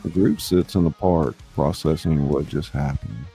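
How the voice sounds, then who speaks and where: A man's voice: a bass voice, moderate pace, creaky, dark tone, soft background music. A man narrating stage directions from a script as the narrator of a reading.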